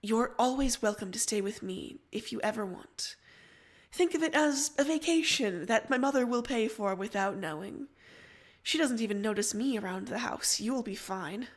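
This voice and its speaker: A woman's voice: a little high, animated, normal speed. Woman voicing a dry, insistent young teenage girl. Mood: dry, teasing. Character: Rose, sardonic, concerned young teenage girl. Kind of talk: fan fiction audio reading.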